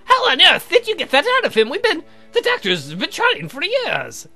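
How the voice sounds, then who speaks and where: Man putting on a stammering, very high-pitched old man. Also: wildly swooping pitch, sharp tone, faint music behind, full character voice. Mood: shocked. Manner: halting, stammering. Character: flustered, guilty old man, stammering and defensive.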